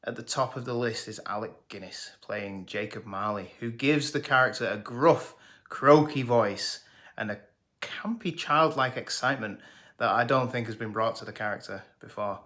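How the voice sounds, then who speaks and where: A man's voice: medium pitch, moderate pace, bright, forceful tone. A man, presenting a scripted, jokey film review. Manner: jokey, sarcastic, enthusiastic. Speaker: movie reviewer.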